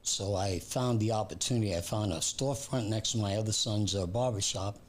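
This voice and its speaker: An older man in his seventies with a lowish voice answers interview questions in an easygoing way. He speaks plainly and pokes fun at himself now and then. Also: a little fast, a little hiss or hum. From Puerto Rico, raised in New York. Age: 70s. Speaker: guest, business founder.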